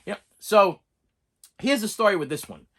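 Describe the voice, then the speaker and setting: A man's voice: sits high, expressive, moderate pace, sharp tone. A middle-aged man with a Boston accent, talking to camera in a rambling, chatty review monologue, often repeating and restarting words and laughing. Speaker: movie review vlogger.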